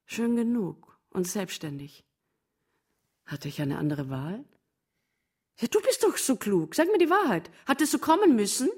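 An actress in a radio drama playing a bitter, proud, long-suffering wife, reproachful and theatrical. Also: female voice, a little high, sing-song, a little slow, long pauses, darkish tone, breathy edge, heavily acted. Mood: weary, defiant. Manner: reproachful, theatrical, emotional monologues. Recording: studio radio play over music.

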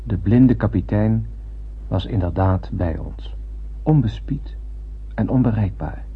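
Casting: wondering space voyager telling his tale, lowish pitch, solemn, thin old broadcast sound. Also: animated, takes it slowly. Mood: solemn, lyrical. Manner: solemn, lyrical, reflective. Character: earnest, wondering young spacefarer narrator. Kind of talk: radio drama narration and acting. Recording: tinny old radio recording, faint hum.

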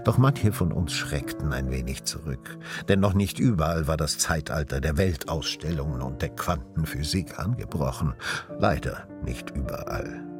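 An actor playing a solemn, ironic narrator of a radio drama, speaking in a deliberate theatrical way. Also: male voice, a bass voice, full character voice. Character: wry, solemn storyteller of the good old days. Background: music.

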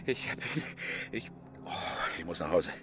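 An actor playing a panicked, guilt-stricken amateur hunter in a German radio crime drama, agitated and stammering in broken phrases. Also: male voice, deep voice, lively intonation, normal speed. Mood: panicked, distraught.

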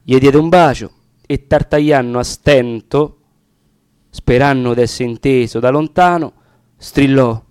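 A man's voice: medium pitch, slow pace, a little mellow, clean tone, no room sound, clean studio sound. A man with a Roman accent reciting narrative dialect verse in a solemn, theatrical manner. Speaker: reader of dialect poetry.

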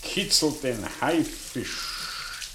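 An actor playing a vain, bitter older professor in a German radio play, holding forth in sardonic, rhapsodic monologue. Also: male voice, mid-range voice, expressive, extremely slow, pushed and bright, slight background noise, exaggerated voice, rough recording. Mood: sardonic, muttering. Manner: theatrical, rhapsodic monologue, sardonic and self-dramatizing.

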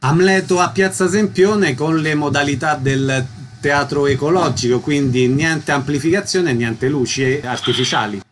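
A woman's voice: sits low, unhurried, slight background noise. A woman hosting a scripted radio interview, introducing guests and putting questions to them.

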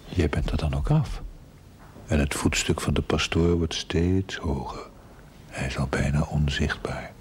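An actor playing a wry, teasing confidant in a television drama, with a Dutch accent and a hushed, sardonic delivery. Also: male voice, a bass voice, fairly even intonation, a little slow, slight background noise. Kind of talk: television period drama acting.

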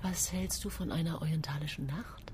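A woman's voice: sits low, steady tone, fairly quick, a little mellow, slight background noise, lightly acted. An actress playing a guarded, torn wife and working mother in an understated, clipped way in a radio drama.

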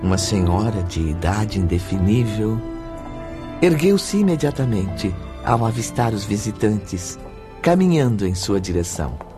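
A man's voice: fairly low pitch, big pitch swings, a little slow, over music. A man with a Brazilian accent giving scripted literary narration for a radio drama.

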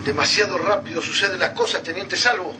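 An actor playing an earnest, bookish war chronicler with a halting, intellectual manner in a radio drama. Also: male voice, high-pitched, far from the mic, noisy background, natural voice. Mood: pensive.

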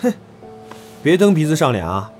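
A man's voice: fairly high pitch, very animated, moderate pace, faint music behind. A man playing a teasing, flippant young idol in a Chinese audio drama, talking in playful banter. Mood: teasing, casual.

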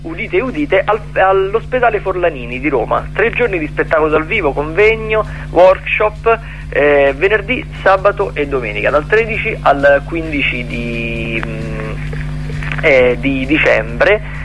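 A man chatting about cultural listings on the radio, with hesitant, drawn-out phrasing. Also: a little high, animated, a bit bright, muffled recording, soft background music.

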